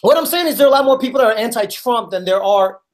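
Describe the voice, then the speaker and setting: A man's voice: extremely high pitch, expressive, fast talker. A man co-hosting a podcast, bantering in a casual, joking way. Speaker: podcast co-host. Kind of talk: casual chat.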